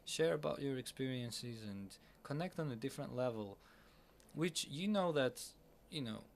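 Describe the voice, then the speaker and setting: Eager, halting podcast host with gravel. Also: male voice, medium pitch, animated. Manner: enthusiastic, halting, many fillers and restarts. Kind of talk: casual interview talk. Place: busy conference hall.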